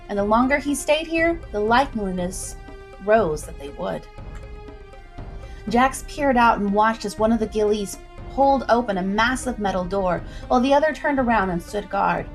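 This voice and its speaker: High-voiced woman narrating an adventure story. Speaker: storyteller, livestream host. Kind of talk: dramatic scripted story narration. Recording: music behind the voice.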